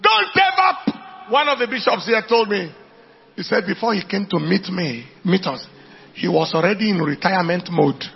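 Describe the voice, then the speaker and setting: A Nigerian man delivers an animated sermon in a high-pitched voice, hammering phrases through repetition as he addresses a congregation. The recording is somewhat dull and lo-fi, with music playing behind him. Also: gravelly. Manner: emphatic repetition, declaiming to congregation. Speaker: preacher.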